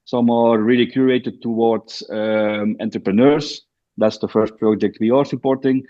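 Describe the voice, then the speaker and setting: A man's voice: medium pitch, steady tone, moderate pace, mellow. Belgian man on a muffled remote line. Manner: hesitant, many fillers and restarts. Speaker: guest, co-living founder. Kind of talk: podcast interview, explaining. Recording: dull lo-fi remote audio.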